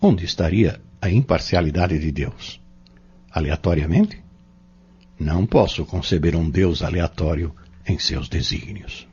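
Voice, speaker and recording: Husky, deep-voiced Brazilian teacher played by a man. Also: a little mellow, exaggerated voice. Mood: earnest, instructive. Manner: didactic, rhetorical questioning, measured phrasing. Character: earnest male Spiritist teacher explaining doctrine to youths. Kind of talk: dramatized audio novel dialogue, didactic. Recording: dull lo-fi audio.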